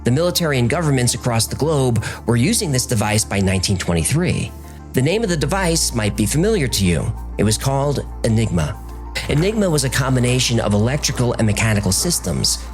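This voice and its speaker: A man's voice: mid-range voice, sing-song, a little fast, faint music behind. A man narrating a scripted documentary podcast as its host, in a storytelling format.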